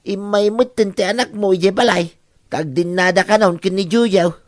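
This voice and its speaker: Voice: medium pitch, moderate pace, no room sound, studio quality, lightly acted. An actor playing a reasoning older parent with an Ilocano accent in a comedy radio drama. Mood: matter-of-fact.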